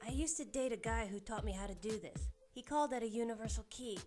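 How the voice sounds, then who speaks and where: A woman's voice: a little high, quick pace, darkish tone, soft background music, close to an everyday voice. A woman playing a young student in an audio drama, a fretful sister with a fiery temper and a casual, emotional manner. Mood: casual, resigned.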